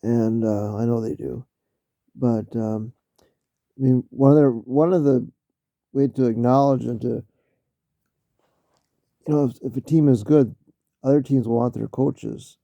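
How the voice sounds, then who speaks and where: A man with a very dark, gravelly voice talks football in a slow, halting ramble full of fillers and restarts. His voice is going, so he keeps stopping to clear his throat. The sound is dry and a little dull, like a cheap home mic. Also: medium pitch, fairly even intonation, dry recording. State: losing his voice. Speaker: vlogger talking football. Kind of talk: casual spoken commentary.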